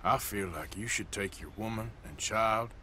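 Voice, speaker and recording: An actor playing a gruff, resigned male outlaw in a video game. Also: lowish voice, normal speed, bright, forceful tone, a little hiss or hum. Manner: drawling, terse and gruff. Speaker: outlaw gang member.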